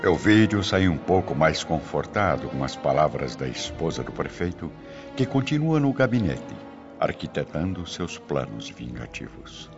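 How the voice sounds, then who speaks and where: A man's voice: a bass voice, very animated, normal speed. A man narrating a radio drama in a dramatic, scripted style. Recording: muffled lo-fi recording under loud music.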